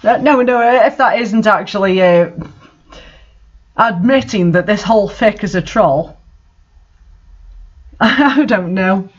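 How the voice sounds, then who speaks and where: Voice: medium pitch, slow, long pauses, dull audio. A narrator reading fan fiction for comedy, breaking off for exasperated, mocking asides. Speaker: reader and commentator.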